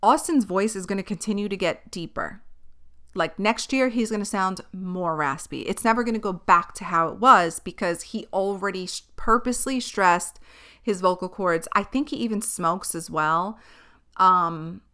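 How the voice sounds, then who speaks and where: Chatty woman podcaster, bright and clear. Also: medium pitch, normal speed. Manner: chatty, opinionated, tangent-prone. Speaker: podcast host. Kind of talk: casual chat.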